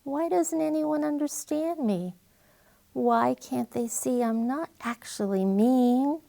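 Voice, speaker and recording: A woman's voice: high voice, slow pace, gravelly, mellow, pitched above the performer's own voice, full character voice. A woman voicing a cheerful, misunderstood young male dog who growls as he talks. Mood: plaintive. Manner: friendly, with a growly edge.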